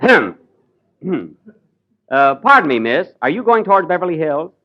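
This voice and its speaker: Lively, exasperated radio comedy host. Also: male voice, a little high, moderate pace, dull audio. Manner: vain, easily exasperated comic delivery.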